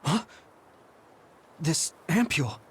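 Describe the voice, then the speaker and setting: An actor playing an earnest, troubled young soldier in a video game, speaking in a sincere, searching way. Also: male voice, fairly high pitch, expressive, slow pace, a bit bright, slight background noise. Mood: puzzled, weary. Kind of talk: anime game dub acting.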